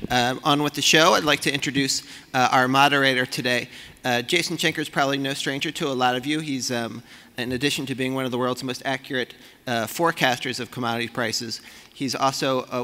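A man in his forties formally introducing a speaker from a convention podium. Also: pushed and bright. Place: large convention hall stage. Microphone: podium gooseneck microphone. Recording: booming hall reverb.

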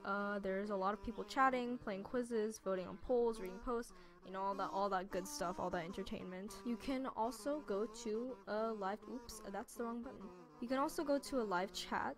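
A woman giving a casual app walkthrough in a chatty, informal, offhand way. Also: mid-range voice. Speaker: video creator. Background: music.

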